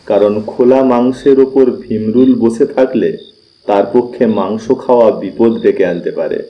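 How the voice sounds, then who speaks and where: A man narrating a scripted suspense story in a measured, deliberate storytelling manner, with pauses. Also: medium pitch, dull audio. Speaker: audiobook narrator.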